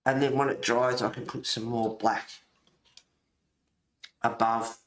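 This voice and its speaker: A man's voice: mid-range voice, level tone, takes it slowly, fairly bright tone, roomy sound. A man chatting casually and informally while colouring, rambling and thinking aloud as he goes. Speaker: host of a colouring channel.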